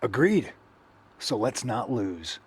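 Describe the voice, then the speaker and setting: A man's voice: mid-range voice, sing-song, slow pace, very noisy. A man playing a vengeful wrestler in a video game cutscene, blunt and indignant with clipped replies. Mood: determined. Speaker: wrestler seeking revenge.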